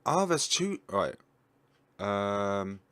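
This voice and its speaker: A young man chatting casually as he plays a story game and thinks aloud with excitement. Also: lowish voice, drawn-out words, clean tone. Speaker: gaming YouTuber. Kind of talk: casual let's-play commentary.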